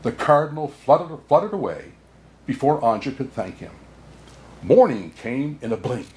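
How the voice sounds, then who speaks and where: A man playing a jolly, kindly old Santa Claus, excitable and chuckling, reading a children's storybook aloud with "oh my" exclamations. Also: medium pitch, normal speed, close to an everyday voice. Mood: gently narrating. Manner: hearty chuckles and frequent "oh my" exclamations. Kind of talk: children's storybook read-aloud with asides to listeners.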